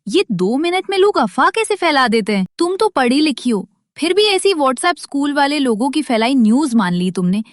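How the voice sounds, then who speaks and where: A woman's voice: high-pitched, sing-song, normal speed, hardly any pauses, dry recording, clean studio sound. A woman playing a sensible young Indian daughter-in-law in a Hindi family story, exasperated, dismissive and lecturing.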